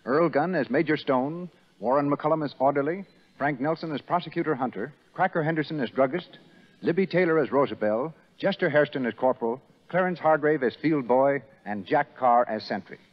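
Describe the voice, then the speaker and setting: A man's voice: on the high side, brisk. A man reading formal, scripted announcements as a 1930s radio announcer. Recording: dull, rough 1930s radio broadcast, faint crowd.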